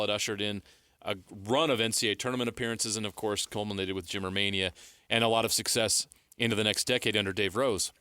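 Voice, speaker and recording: An American man co-hosting sports radio, giving measured, explanatory analysis in a conversational style on a close studio mic. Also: fairly low pitch, sharp tone, smooth, no room sound. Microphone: close studio broadcast mic.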